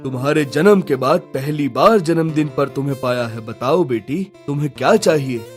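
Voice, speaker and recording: A man's voice: on the high side, normal speed, natural voice. Man acting a commanding cartoon king. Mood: fatherly tenderness. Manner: commanding, formal. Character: stern, authoritative king and doting father. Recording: music under the voice.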